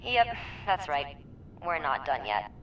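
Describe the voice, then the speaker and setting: A brisk, businesslike quest-giver in a game, lays out the next errands in a low, steady voice. The sound is roomy and set back from the mic. A faint rumble sits underneath. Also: female voice, far from the mic, dull audio, full character voice. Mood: instructing. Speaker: quest-giving character.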